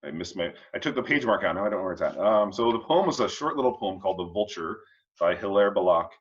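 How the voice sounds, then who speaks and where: A man hosting a casual discussion of readings, reflecting in a halting, rambling way and often checking in with the listener. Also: sits low, expressive, fairly bright tone, dull audio. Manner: halting and rambling, checking in with "you know" and "huh". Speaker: host of a family read-along discussion.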